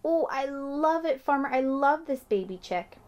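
A woman's voice: mid-range voice, expressive, fast talker, mellow, natural voice. Lady reading as a spunky little girl, all bouncy and eager. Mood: excited. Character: young girl, spunky and talkative.

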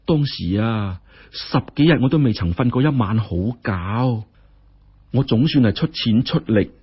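A man with a Hong Kong Cantonese accent voicing a forceful, righteous, emotional woman benefactor in a told story. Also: medium pitch, expressive, unhurried, slightly rough, muffled recording. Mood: wistful.

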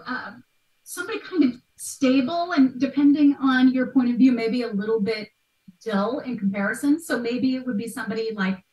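Female podcast guest, thirties, thoughtful and conversational, fairly high voice, roomy sound away from the mic. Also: a little mellow. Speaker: guest expert, art history podcaster. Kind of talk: conversational question-and-answer interview. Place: small bright room at home. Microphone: video call, computer microphone. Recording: echoey room, distant from mic.

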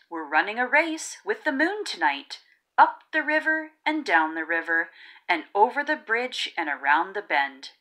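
Female children's storybook narrator, unhurried and singsong, with lively swooping pitch and theatrical emphasis. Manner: rhythmic, theatrical, drawn-out emphasis. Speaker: read-aloud narrator of children's picture books. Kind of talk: expressive storybook reading.

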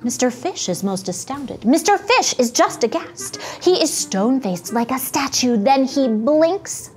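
A woman storyteller speaks to young children in deliberate picture-book style. Her voice is fairly high and very expressive. She takes her time over the phrases. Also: soft background music.

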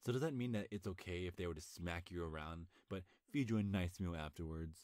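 A young man playing a nervous, lovestruck best friend in an intimate ASMR roleplay, speaking earnestly with a halting stammer. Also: low voice, darkish tone, dry recording, clean studio sound, close to an everyday voice. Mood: earnest, indignant.

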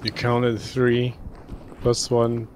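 A man leading a team in casual game voice chat, pausing hesitantly between phrases. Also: mid-range voice, monotone, a little mellow. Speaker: squad leader in an online tactical game. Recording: faintly noisy online game voice chat.

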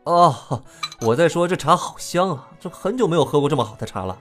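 A man's voice: on the high side, animated, fairly quick, fairly bright tone, soft background music. A man playing an awkward, bemused young prince in an audio drama, speaking politely and hesitantly.

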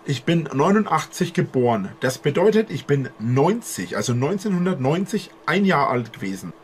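A man's voice: fairly high pitch, sing-song, a little hiss or hum. Young man rambling to a webcam. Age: 20s. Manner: long, halting explanations with restarts. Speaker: streamer. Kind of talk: rambling monologue to camera. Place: indoor bedroom with posters.

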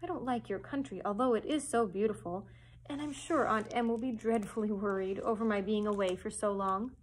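A woman's voice: mid-range voice, a little fast, slight background noise, natural voice. A woman voicing a small, meek young girl who pleads earnestly. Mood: pleading. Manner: pleading, tearful.

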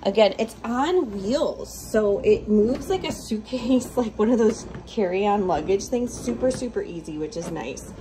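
A woman's voice: medium pitch, expressive, unhurried, hardly any pauses, noisy background. A woman giving a casual, upbeat product review on a phone, with lots of ums while she talks at home. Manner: chatty, upbeat, frequent ums. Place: living room, indoors. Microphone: phone held over the device.

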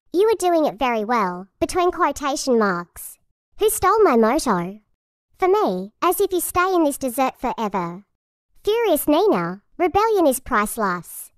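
A woman's voice: sits high, no echo, studio quality. A woman voicing a brash, rebellious young female cat who leads a biker gang in cartoon voice lines. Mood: angry. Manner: brash, dramatic, exclamatory.

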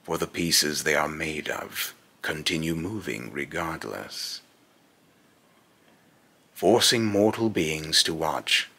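A man's voice: rumbling low, animated, pushed and bright, heavily acted. A young man playing a menacing, scornful godlike villain in pompous, theatrical monologue, recorded in a small room at home. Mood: grave, contemptuous. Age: young adult. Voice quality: gravelly, raspy. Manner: slow, pompous, theatrical delivery. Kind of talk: dramatic villain monologue, rehearsed voice lines.

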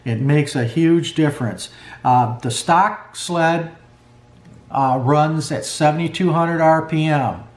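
A man's voice: on the high side, takes it slowly. A middle-aged man talking through a clutch rebuild at his workbench in a plainspoken way, with lots of fillers. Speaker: snowmobile clutch mechanic. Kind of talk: hands-on workshop tutorial. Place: garage workbench. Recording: echoey workshop.

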